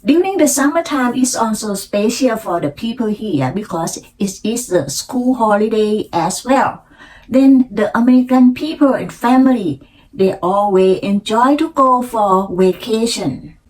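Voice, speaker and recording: An older woman from Thailand with a Thai accent, a native Thai speaker, telling her personal story in halting, deliberate learner English. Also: fairly high pitch, lively intonation, darkish tone, low-quality recording. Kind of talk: unscripted personal storytelling practice to camera.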